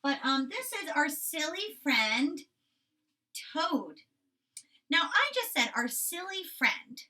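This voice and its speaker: A woman's voice: sits high, sing-song, takes it slowly, pushed and bright, distant mic. A woman primary school teacher giving a children's lesson and reading a storybook, instructive, stressing key words and often checking in.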